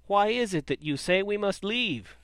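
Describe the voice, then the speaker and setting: A man voicing a gentle, sad antelope and goat who reason calmly. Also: sits high, moderate pace, dry recording, studio quality, pitched above the performer's own voice. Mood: pleading.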